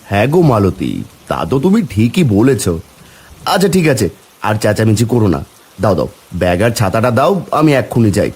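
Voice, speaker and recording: A man voicing a meek, put-upon village husband and father in a cartoon, plaintive and expressive. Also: on the low side, normal speed, breathy edge. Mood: resigned, reassuring. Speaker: cartoon voice actor.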